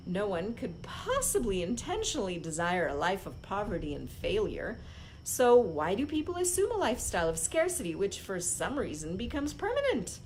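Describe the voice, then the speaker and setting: A woman reading a self-help book aloud as an impromptu live read-along host, phrasing it in short, deliberate groups. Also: medium pitch, slight background noise, poor audio.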